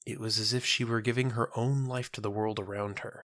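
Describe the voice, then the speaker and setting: A narrator reading a scripted audio drama in a measured, solemn, dramatic manner. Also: mid-range voice, steady tone, fairly bright tone, no room sound, clean studio sound.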